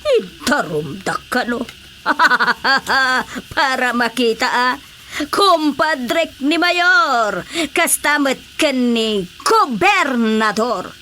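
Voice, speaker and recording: Brash, boastful businesswoman, Ilocano accent, high voice, theatrical radio comedy delivery, noisy recording. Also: lively intonation, sharp tone, exaggerated voice. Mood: boastful, mocking. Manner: exclamatory, theatrical, drawn-out hesitations and scoffing. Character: brash, well-connected businesswoman boasting of powerful protectors.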